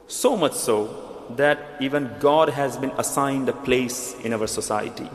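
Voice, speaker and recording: A man with a thick Indian accent preaching an earnest, measured sermon, now and then restarting words. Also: medium pitch. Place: large church hall. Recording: echoey church hall with long reverb.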